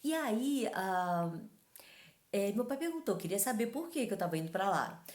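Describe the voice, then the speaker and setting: Host, woman from Taiwan, middle pitch, chatty tag questions, reverberant room. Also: very animated, normal speed, darkish tone. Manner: conversational, frequent tag questions. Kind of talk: talking-head advice talk.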